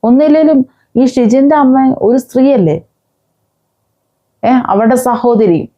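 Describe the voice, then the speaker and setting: A woman vlogger, giving angry, scolding commentary with many pauses and restarts. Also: sits high, warm tone. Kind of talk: angry commentary on a news case.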